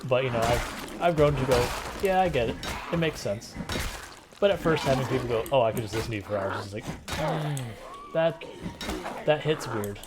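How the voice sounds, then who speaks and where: A man streaming a game and chatting with viewers in a relaxed, rambling way, with a soft, soothing voice. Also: mid-range voice, moderate pace, very noisy. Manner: relaxed, rambling, many fillers and restarts. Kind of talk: casual gameplay stream chat.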